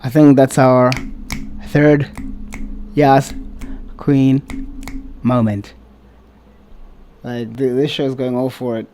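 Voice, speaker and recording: A man's voice: mid-range voice, little pitch movement, takes it slowly, long pauses, warm tone, a little hiss or hum. A man hosting a reaction video, talking casually in a sarcastic, exasperated way and swearing.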